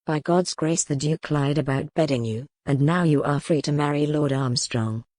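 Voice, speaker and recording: A woman voicing a gentle but insistent older father, a bookish nobleman, with earnest, pleading speech in an audiobook. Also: low-pitched, moderate pace, no room sound, studio quality, voice pushed down. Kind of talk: audiobook character acting.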